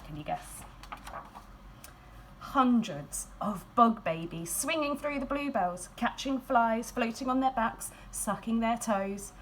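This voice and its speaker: A woman's voice: a little high, lively intonation, slow pace. Woman reading a children's storybook, noisy recording. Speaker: school staff member reading a picture book aloud.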